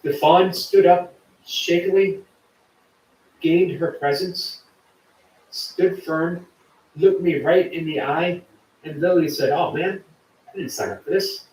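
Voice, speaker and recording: Guy hosting a livestream, kinda high voice, echoey room, sounds a bit far from the mic. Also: takes it slowly, long pauses. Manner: chatty, warm to viewers, reads chat aloud. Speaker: livestream host. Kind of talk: casual live chat reading comments.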